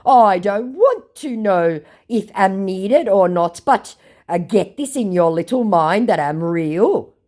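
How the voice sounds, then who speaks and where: A woman puts on a dim and boastful male online scammer in a mocking story read-out. The pitch is pushed up and swoops about, while the broken English comes out slow, halting and exaggerated. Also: lively intonation, a little mellow. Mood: indignant, insistent.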